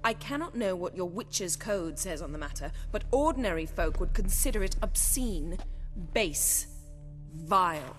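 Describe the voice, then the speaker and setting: Imperious, sharp-tongued sorceress played by a woman, music behind. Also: fairly low pitch, expressive, normal speed, natural voice. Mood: cold anger. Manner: haughty, formal, acerbic. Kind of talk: video game cutscene acting.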